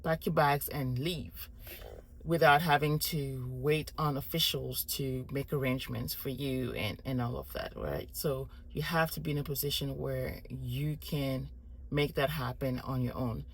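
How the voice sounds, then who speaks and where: Low-voiced woman vlogger, methodical. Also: measured pace, husky. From born in Morocco, Congolese heritage. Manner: thoughtful, methodical, frequent restarts and listing. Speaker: family relocation vlogger. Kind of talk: explanatory casual talk to camera.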